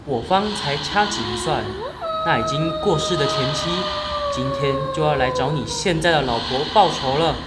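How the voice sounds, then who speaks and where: A man's voice: fairly high pitch, animated, moderate pace, over loud music, lightly acted, poor audio. A teenage male student playing a polite traveler who poses as a Taoist exorcist in an amateur radio drama. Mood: solemn. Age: teens.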